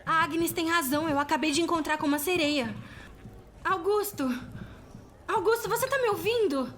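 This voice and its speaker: A woman's voice: very high-pitched, moderate pace, a bit bright, a little hiss or hum. A woman playing a young tavern girl in an audio drama, with a pleading, childlike way of talking. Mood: anxious pleading.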